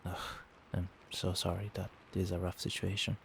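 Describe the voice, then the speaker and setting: A man playing a doting, protective vampire boyfriend in a soft-spoken, soothing and attentive ASMR roleplay. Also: low-pitched, little pitch movement, normal speed, gravelly, slight background noise. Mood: tender, concerned. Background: rain.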